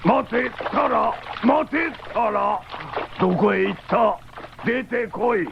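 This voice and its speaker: A menacing cyborg henchman from an old radio play. The words come extremely slowly in a creaky voice. The recording is dull and noisy. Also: sits high, heavily acted. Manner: slow, drawn-out delivery. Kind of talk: 1970s radio drama acting.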